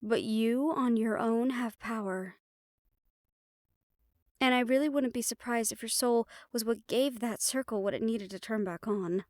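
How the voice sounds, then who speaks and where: A woman's voice: fairly high pitch, a little slow, a little mellow, no echo, clean studio sound, lightly acted. A woman playing a protective, knowledgeable occult investigator in an audio drama, explaining things in a reassuring but firm manner. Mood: thoughtful, explaining. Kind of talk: audio roleplay acting.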